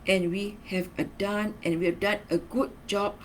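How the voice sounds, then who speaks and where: Female trainer, mid-pitched, instructional and emphatic, semi-scripted lesson delivery, faint background noise. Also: steady tone, normal speed. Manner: instructional, emphatic key words, frequent tag questions like okay and right. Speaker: marketing trainer.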